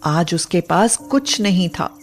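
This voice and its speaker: A woman's voice: lowish voice, expressive, slow pace. A woman narrating a scripted moral story as a storyteller.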